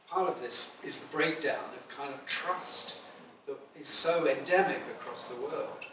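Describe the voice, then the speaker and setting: A man's voice: mid-range voice, fairly bright tone. A man chairing a panel discussion, speaking in a reflective, thoughtful way. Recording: echoey room, far from mic, background noise.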